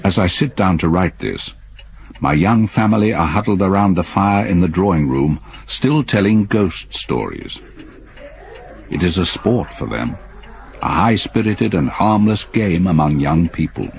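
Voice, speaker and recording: An actor playing an older stepfather who narrates a haunting memory in a grave, measured voice with a husky tone. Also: sits low, expressive, heavily acted. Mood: brooding. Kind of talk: radio drama acting.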